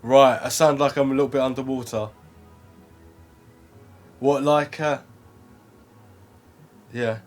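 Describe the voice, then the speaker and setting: A man's voice: mid-range voice, fairly even intonation, slow, long pauses, faint music behind. A young man reviewing earphones in a casual, rambling way, full of fillers and restarts. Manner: rambling, self-correcting, lots of fillers. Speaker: product reviewer.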